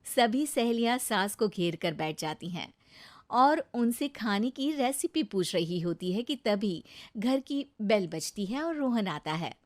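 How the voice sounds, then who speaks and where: A woman narrating a cartoon moral story in an unhurried, expressive way. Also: medium pitch.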